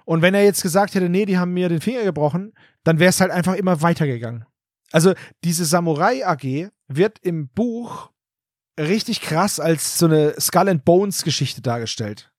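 A man's voice: a little high, animated, normal speed, darkish tone, dry recording, studio quality. A man co-hosting a casual podcast chat, retelling a book's plot in loose running summaries. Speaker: podcast co-host summarizing the book.

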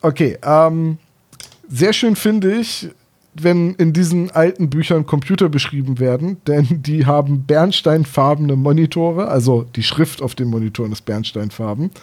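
Male podcast co-host, 30s, from Germany, lightly raised pitch, enthusiastic casual banter. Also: moderate pace, a little mellow.

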